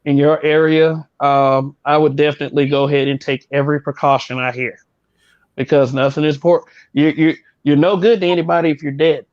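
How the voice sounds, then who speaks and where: A man's voice: on the high side, little pitch movement, brisk, dry recording, muffled recording. A man co-hosting a casual chat, rambling and opinionated with frequent restarts. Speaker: podcast co-host.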